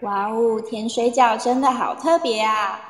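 A woman's voice: a little high, fairly even intonation, unhurried. A woman playing an enthusiastic narrator, reading scripted narration for a travel radio play. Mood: bright wonder. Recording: echoey, reverberant sound.

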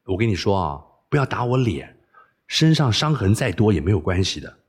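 A man's voice: fairly low pitch, big pitch swings, a little fast, slightly rough, voice pushed down. A man voicing a spoiled, wilful young princess, coaxing, bossy and playful, in audiobook storytelling. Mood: pleading. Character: spoiled, wilful young princess who relishes being scolded.

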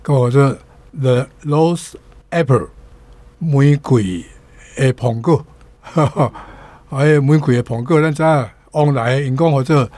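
Very slow-talking older Taiwanese man teaching words. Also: mid-range voice, darkish tone, dry recording. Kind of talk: explaining vocabulary in a radio language segment.

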